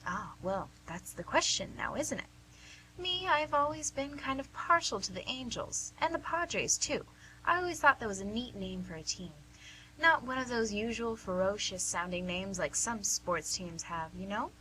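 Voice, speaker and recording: A woman's voice: mid-range voice, expressive, normal speed, bright, forceful tone. A woman playing a cheerful, probing, slightly fumbling nurse in a radio drama, speaking in a coaxing, conversational way. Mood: chatty, teasing. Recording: noisy amateur recording with faint hum.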